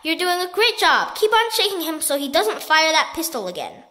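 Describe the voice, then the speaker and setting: A woman's voice: sits high, sing-song, normal speed, sharp tone, slight background noise, heavily acted. A woman doing a cheering polite giraffe.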